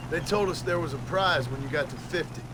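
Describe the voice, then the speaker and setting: An actor playing a brooding, defiant outlaw cowboy in a western video game, terse, dry and bitterly sarcastic. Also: male voice, on the high side, fairly quick, heavy background noise, close to an everyday voice. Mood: sardonic.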